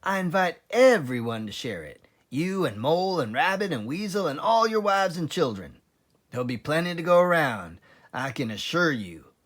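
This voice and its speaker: A man's voice: fairly high pitch, sing-song, moderate pace, fairly bright tone, voice pushed up. A man voicing a sly, confident father fox in a storybook, fond and boastful, with an assured, coaxing, theatrical manner. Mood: proud, generous.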